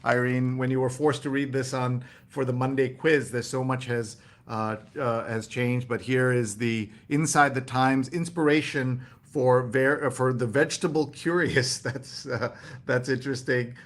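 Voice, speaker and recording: A man hosting a live newspaper read-along, reading headlines aloud and commenting casually in a halting, think-aloud way. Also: medium pitch, fairly even intonation.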